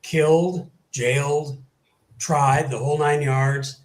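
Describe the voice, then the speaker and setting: A man's voice: a little high, fairly even intonation, slow. Matter-of-fact man in an echoey room. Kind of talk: casual group chat. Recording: roomy echo.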